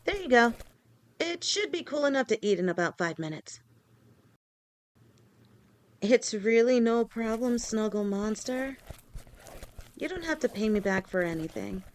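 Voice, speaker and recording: A woman's voice: on the high side, big pitch swings, unhurried, pauses often. A woman playing a doting, playful lamia girlfriend. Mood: cheerful, doting. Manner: affectionate, teasing, pet names; stammers and rambles when nervous. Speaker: voice actor. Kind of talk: listener-directed audio roleplay.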